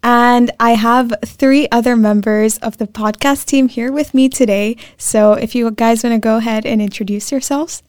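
A woman's voice: on the high side, steady tone, moderate pace, few pauses, darkish tone, smooth, dry recording, clean studio sound. A nineteen-year-old woman hosting a student podcast, welcoming listeners and introducing the team. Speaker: podcast host. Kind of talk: scripted intro, then casual chat.

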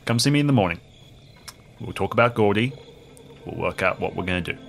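A man's voice: low voice, lively intonation, brisk, vocal fry, a bit bright, full character voice. A man voicing a blunt, earnest friend giving plain-spoken advice in an improvised audio drama. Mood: reassuring.

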